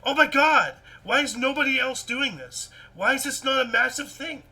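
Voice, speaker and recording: A man hosting a casual news show, reading articles and chatting in a rambling, repetitive, animated way. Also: extremely high pitch, normal speed, breathy edge. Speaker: host of a weird-news talk show.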